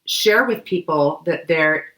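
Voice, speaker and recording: Casual podcast co-host, a woman with a cold-roughened voice, a bit low, recorded in a roomy space. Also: animated, slow pace, sharp tone. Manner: chatty, loose, many fillers. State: hoarse from a cold, coughing. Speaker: book club podcast co-host. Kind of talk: casual explanatory chat.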